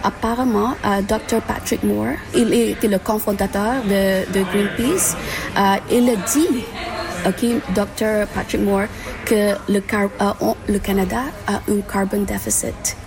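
A woman from the Philippines, answering an interview at a climate event in halting speech full of fillers and restarts. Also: medium pitch, fairly even intonation, poor audio. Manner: halting, many fillers and restarts, mixes in English terms. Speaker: conference participant.

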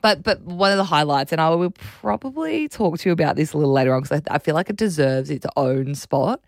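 Raspy Australian woman on breakfast radio. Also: sits low, expressive, fairly quick, dry recording, clean studio sound. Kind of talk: casual breakfast radio sports chat.

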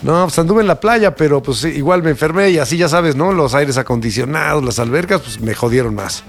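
A man's voice: a little high, lively intonation, brisk, vocal fry, faint music behind. A Mexican man hosting a radio show while sick and congested, with a laid-back manner. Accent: Mexican Spanish. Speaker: radio host. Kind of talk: casual radio banter.

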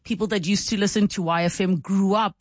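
A woman's voice: mid-range voice, fairly even intonation, brisk, no echo, studio quality. A woman, hosting a radio chat, chatty and laughing often as she asks her guests probing questions. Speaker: radio host. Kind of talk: casual on-air chat.

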